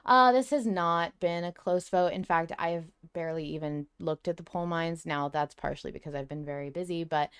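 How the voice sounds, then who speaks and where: A woman's voice: fairly low pitch, normal speed, few pauses, clean tone. A woman co-hosting a podcast, chatting casually and emphatically as a fan, with some swearing. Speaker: podcast co-host. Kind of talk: casual fan discussion of an audio drama.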